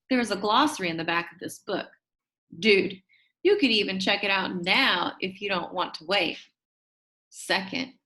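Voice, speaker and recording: A woman reading a children's book as a shy, quirky, upbeat school kid, chummy and expressive with dramatic emphasis. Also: medium pitch, full character voice. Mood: chatty, earnest. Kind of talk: first-person children's book narration.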